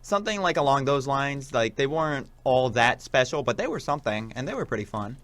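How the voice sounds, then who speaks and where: A man's voice: mid-range voice, animated, brisk, a bit bright, dry recording. A young adult man chatting casually as a podcast co-host, rambling along and leaning often on "like". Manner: rambling, peppered with "like". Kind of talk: casual chat.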